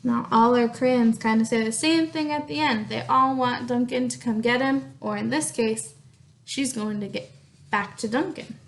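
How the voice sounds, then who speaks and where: High-voiced woman teaching through a read-aloud. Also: moderate pace, vocal fry, slight background noise. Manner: explanatory, simply worded. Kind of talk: read-aloud lesson for young children.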